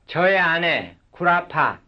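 A man reading short cue words for a language substitution drill, one at a time in a slow, measured way. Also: on the high side, big pitch swings. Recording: dull lo-fi recording.

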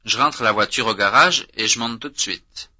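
A man's voice: lowish voice, pushed and bright. Man reading drills on dull tape. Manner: slow, deliberate, clearly articulated. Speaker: language course instructor.

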